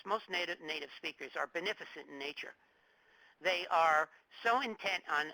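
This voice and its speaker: A middle-aged man from New Jersey giving a public lecture, talking in a halting way with fillers, restarts and joking asides. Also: on the high side, bright, forceful tone, dry recording, rough recording. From New Jersey, United States. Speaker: guest lecturer.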